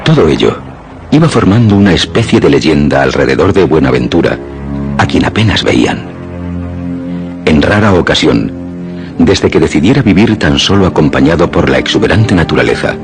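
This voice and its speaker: A man with an extremely low voice narrates a radio drama in a Castilian accent. His storytelling is measured and literary, with lively intonation, and music plays clearly behind him. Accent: Castilian Spanish.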